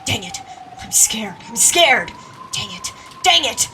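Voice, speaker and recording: A woman's voice: a little high, animated, fairly quick, distant mic, noisy background, pitched above the performer's own voice, close to an everyday voice. A woman playing an angry, panicked male writer on the verge of tears. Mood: terrified.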